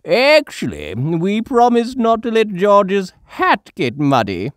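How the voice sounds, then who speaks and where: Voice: mid-range voice, wildly swooping pitch, takes it slowly, dry recording, clean studio sound, pitched above the performer's own voice. A storyteller voicing a well-meaning, confident old grandfather pig in a children's storybook. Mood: matter-of-fact. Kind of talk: children's storybook read aloud.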